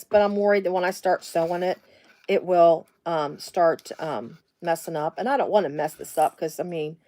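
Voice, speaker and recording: A woman's voice: on the low side, warm tone. A middle-aged woman, hosting a casual live sewing demonstration from her home sewing room and rambling into side stories with lots of fillers. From Midwestern US. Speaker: sewing tutorial host.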